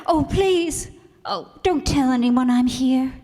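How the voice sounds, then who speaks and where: Actress as ghostly lonely recluse, female, high and slow, formal, timid, hall echo. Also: dark tone. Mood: timid pleading. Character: lonely, reclusive, mysterious woman taken for a ghost. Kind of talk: old-time radio drama acting. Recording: echoey hall stage recording.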